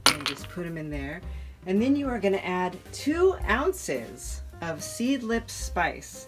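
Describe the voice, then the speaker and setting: A woman's voice: on the low side, sing-song, slow pace, over music. A woman in her thirties hosting in a chatty, playful way with theatrical enthusiasm. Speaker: video host. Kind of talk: chatty demonstration and travel narration.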